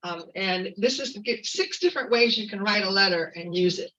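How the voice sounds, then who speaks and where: A woman giving an earnest, informal activist talk at a community meeting, halting with fillers and restarts. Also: medium pitch, sharp tone, recorded from a distance, dull audio. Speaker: activist speaker.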